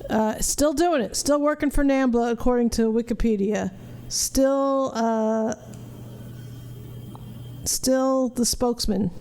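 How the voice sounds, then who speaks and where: A woman's voice: fairly high pitch, takes it slowly, dark tone. A middle-aged woman hosting a podcast, giving casual, blunt commentary with some swearing. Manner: blunt, profane. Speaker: podcast host. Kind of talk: casual watch-along commentary.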